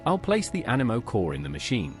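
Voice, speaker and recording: A man's voice: mid-range voice, huge pitch swings, fast. A man playing a plain-spoken adventurer in scripted video game dialogue. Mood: inquiring, matter-of-fact. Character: plain-spoken male adventurer asking questions. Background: faint game music.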